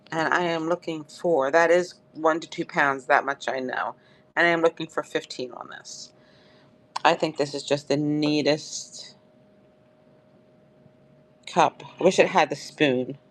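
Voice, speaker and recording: Live-sale host, woman, dry crackly throat, chatty and upbeat, lowish voice. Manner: chatty, upbeat, addresses viewers by name. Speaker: live-stream seller. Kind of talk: live auction selling chat.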